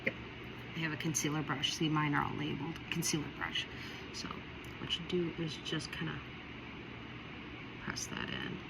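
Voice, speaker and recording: Low-voiced woman chatting through her makeup. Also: big pitch swings, normal speed, creaky, pauses often. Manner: chatty, rambling asides, repeats warnings. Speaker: makeup tutorial vlogger. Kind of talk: casual how-to chat. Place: home, indoors by a window. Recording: loud vehicle noise behind.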